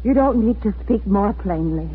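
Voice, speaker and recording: Actress as defiant, anguished wife, tinny old radio. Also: female voice, mid-range voice, lively intonation, moderate pace, a little hiss or hum, natural voice. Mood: anguished defiance. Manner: solemn, dramatic. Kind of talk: 1940s radio drama acting.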